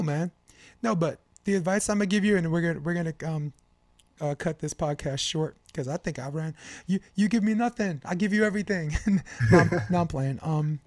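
A man's voice: sits high, a little mellow, dry recording. Slangy American man in his fifties, joking. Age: 50s. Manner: slangy, joking, given to rambling advice. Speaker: podcast host. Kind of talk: casual chat.

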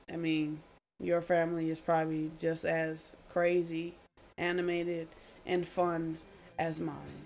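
A woman's voice: lowish voice, vocal fry. A woman introducing her holiday podcast, earnest and hesitant with fillers. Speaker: podcast host.

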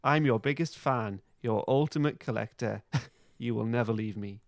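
A man's voice: mid-range voice, moderate pace, no echo, natural voice. Creepy obsessed superfan villain, played by a man, sinister and gloating, dry close recording, comic amateur reading. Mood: obsessive. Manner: whispered menace, sinister gloating.

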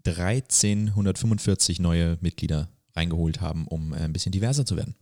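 A man's voice: deep voice, a little fast, a little mellow. A man co-hosting a podcast in informal, casual chat, dropping the odd English phrase. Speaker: podcast co-host.

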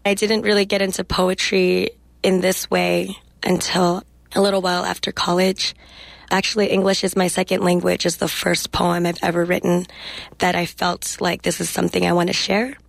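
A young woman guest speaking reflectively in a radio interview and reading her poetry in a measured way. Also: on the low side, flat delivery, gravelly, no echo, poor audio. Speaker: guest poet.

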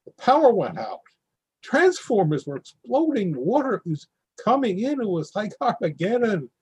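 An actor playing a man who tells of driving through flooded streets in a vivid, animated way. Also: high-pitched, moderate pace, slightly rough, full character voice, rough recording. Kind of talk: readers theatre monologue.

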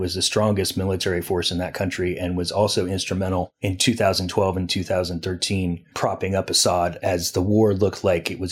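A man's voice: sits low, husky. A man speaking as a foreign news editor and interview guest, giving a long analytical answer with frequent fillers and restarts. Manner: discursive, many restarts and fillers.

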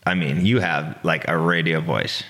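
A man's voice: rumbling low, fairly even intonation, normal speed, a bit bright. A man, a snowboarder guest on a podcast, chatting in an easygoing, encouraging way with lots of fillers. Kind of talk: casual podcast chat.

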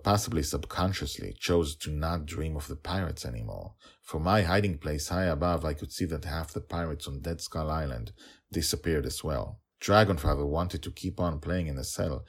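A man hosting and narrating a story podcast, moving between conversational talk and scripted reading with deliberate, drawn-out announcements. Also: a bass voice, husky. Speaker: podcast host and author-narrator.

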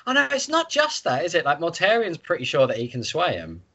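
A man's voice: on the high side, wildly swooping pitch, a little fast, bright, forceful tone, breathy edge, lo-fi sound. A man co-hosting a podcast, casually discussing a book in an informal, sweary way. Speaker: podcast co-host.

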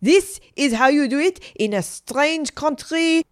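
A woman's voice: high voice, lively intonation, moderate pace, no room sound, studio quality, pitched above the performer's own voice. A woman voicing a fiery, bossy Frenchwoman in a story, scolding emphatically and scornful of silly men. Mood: scornful, indignant.

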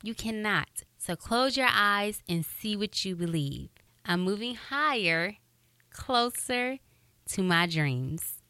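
A woman radio broadcaster hosting a motivational podcast, with a high voice and an upbeat, encouraging way of talking. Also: expressive, slow pace, pushed and bright, clean tone, no room sound.

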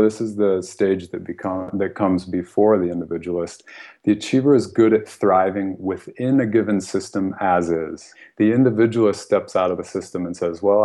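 Man from the United States, very low voice, mellow and warm tone, earnest reflective podcast talk, frequent soft laughs. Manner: earnest, thoughtful, laughs often, says "you know" a lot. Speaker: guest author.

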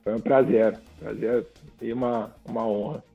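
A man's voice: on the low side, fairly even intonation, normal speed, creaky, husky, faint music behind. A man from southern Brazil, giving reflective interview answers and often ending with tag questions. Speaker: guest. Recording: phone-like narrow line.

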